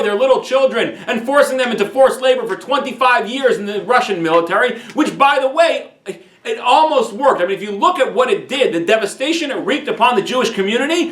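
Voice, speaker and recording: A man's voice: very high voice, expressive, fairly quick, a bit bright. A man teaching a Torah class as a rabbi, telling a story with frequent restarts and repeated words. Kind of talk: weekly Torah class lecture. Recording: echoey room.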